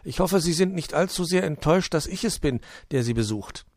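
A man's voice: on the high side, expressive, a little fast, a little mellow, no room sound, studio quality, pitched above the performer's own voice. A man voicing a small, shy male gnome in a storybook, speaking humbly and a little meekly. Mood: meek, hopeful. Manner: shy, humble, easily downcast. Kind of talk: storybook character voice.

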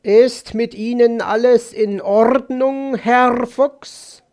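A man's voice: very high voice, steady tone, extremely slow, darkish tone, voice pushed up, full character voice. A man voicing a formal, baffled male raven in a storybook, drawing out words and punctuating them with caws. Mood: puzzled. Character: male raven, formal, slow, baffled, croaks between phrases.

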